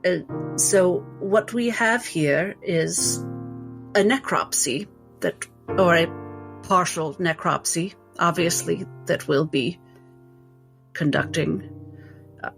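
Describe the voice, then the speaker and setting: Woman playing a slow, formal lady doctor. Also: lowish voice, creaky, soft background music. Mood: formal lecturing. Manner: formal, deliberate, hesitant teaching tone. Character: formal female doctor lecturing, polite, precise, halting.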